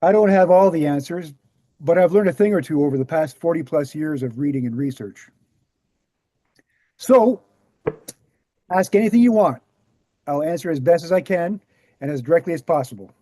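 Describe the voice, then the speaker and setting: A man's voice: fairly high pitch, animated, moderate pace, pauses often, mellow, dry recording. A man playing an affable, knowledgeable author in his mid-sixties at a screenplay table read. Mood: affable, assured.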